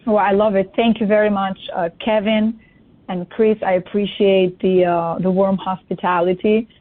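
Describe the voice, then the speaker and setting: A woman, by birth, is a guest on an interview and lays out her career in an upbeat, list-like way. Her pitch sits in the middle and barely moves. Her voice comes through a thin, phone-like line. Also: little pitch movement, moderate pace. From Albania. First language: Albanian. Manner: upbeat, lists achievements at length. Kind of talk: interview self-introduction.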